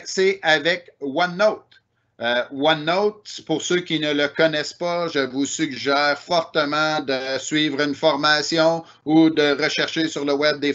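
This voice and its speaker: A man's voice: fairly high pitch, sharp tone, dull audio. A man with a Québécois French accent giving an online technical presentation, explaining step by step with frequent "euh" and "ben" fillers.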